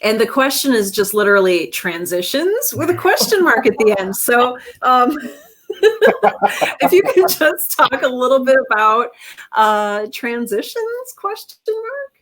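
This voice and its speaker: A woman's voice: on the high side, big pitch swings, unhurried, a bit bright. Casual woman hosting a discussion. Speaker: host, moderator.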